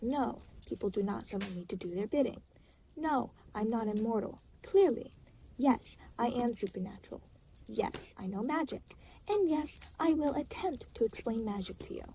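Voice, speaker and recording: A woman's voice: a little high, animated, moderate pace, mellow, a little hiss or hum, low-quality recording. A woman playing an aloof, exasperated demon in an audio roleplay, talking to the listener in a dry, sarcastic, weary way. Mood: weary, sardonic. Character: aloof, exasperated demon, reluctantly babysitting a new soul.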